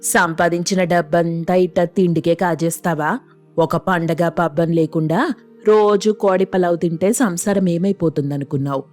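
Actress as thrifty rural wife, lowish voice, nagging and colloquial, for a storybook drama over light music. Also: female voice, steady tone, moderate pace, a little mellow, natural voice. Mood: scolding. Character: scolding, thrifty village wife. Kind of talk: Telugu moral story voice acting.

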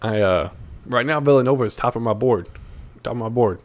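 A man's voice: mid-range voice, a little fast. A man hosting a gameplay video with casual, slangy commentary, repeating phrases and talking back to the game. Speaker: gaming video host.

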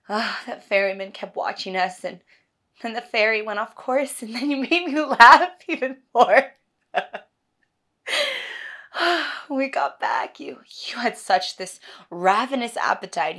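A woman performing a stage monologue as a tender, wistful wife talking intimately and haltingly to her husband, often softly. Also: on the low side, creaky. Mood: fond, nostalgic. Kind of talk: theatrical audition monologue.

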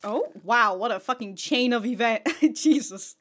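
A woman's voice: fairly high pitch, lively intonation, a little slow, fairly bright tone. A young adult woman giving casual, blunt reaction commentary with amused, sweary asides, close on a desk microphone in a small home room. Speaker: anime reaction host. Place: small home bedroom studio.

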